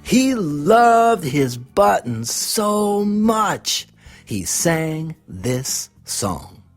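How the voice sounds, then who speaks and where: A woman reads a children's storybook aloud in an expressive, deliberate way, putting questions to young listeners. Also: on the low side, soft background music. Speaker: storybook reader. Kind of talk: children's read-aloud narration.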